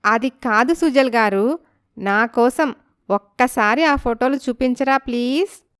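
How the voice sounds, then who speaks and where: A woman voicing a wronged young village wife in a story, polite and pleading but quietly determined. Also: fairly high pitch, takes it slowly, smooth, no room sound, clean studio sound. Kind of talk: dramatised story acting.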